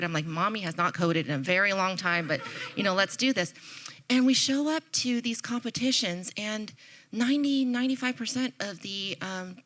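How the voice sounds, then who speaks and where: A middle-aged woman on a conference panel telling a passionate, animated story about coaching. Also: medium pitch.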